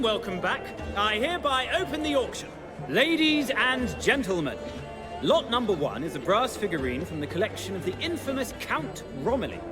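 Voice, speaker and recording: A theatrical game auctioneer with an extremely high male voice that sweeps up and down. He is genteel, effusive and excitable. Music runs behind his patter. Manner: showy, effusive, formal.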